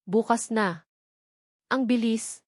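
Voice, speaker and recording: A woman's voice: a little high, slow, no room sound, studio quality. A woman voicing an anxious, struggling female business owner who speaks politely and emotionally in an audio drama. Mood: anxious hope.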